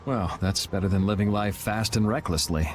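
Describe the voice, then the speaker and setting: A man playing a calm, blunt young vigilante swordsman in a video game, laid-back and matter-of-fact. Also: on the low side, moderate pace, very noisy, close to an everyday voice. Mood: relaxed.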